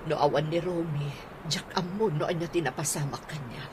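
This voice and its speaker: A woman's voice: deep voice, brisk, background noise, lightly acted. A woman playing an older auntie devoted to her much younger boyfriend in a radio drama. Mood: plaintive, explaining.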